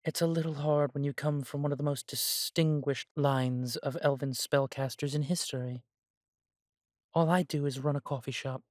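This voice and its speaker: A man playing a humble, self-doubting immortal elf who runs a coffee shop in a fantasy audio drama, talking in a gentle, chatty way. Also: on the high side, fairly even intonation, moderate pace, dry recording, studio quality, lightly acted. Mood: downcast, self-doubting.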